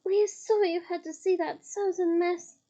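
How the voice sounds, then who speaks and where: A woman voicing a humble, ashamed male kitchen house-elf, squeaky and deferential. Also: fairly even intonation, moderate pace, warm tone. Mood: apologetic. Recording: slightly dull lo-fi recording.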